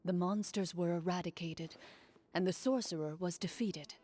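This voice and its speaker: Woman as a composed, low-voiced honourable duelist. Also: fairly even intonation, darkish tone, natural voice. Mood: storytelling. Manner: measured, formal, earnest. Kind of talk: anime-style game voice acting. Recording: clear game music behind.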